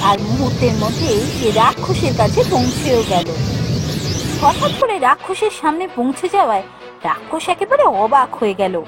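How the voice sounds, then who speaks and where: A woman narrating a scripted fairy-tale cartoon. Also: high voice. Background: music.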